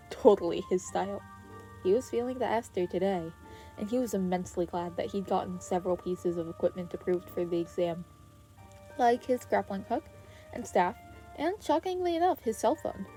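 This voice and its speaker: A woman reading scripted fiction aloud as an expressive podfic narrator. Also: mid-range voice, poor audio. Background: background music.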